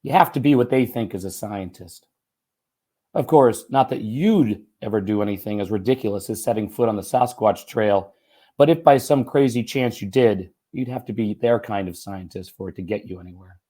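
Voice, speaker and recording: A slightly hoarse man reading a first-person short story aloud in an expressive, conversational, folksy way. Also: fairly low pitch, normal speed. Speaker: writer and teacher reading own short story.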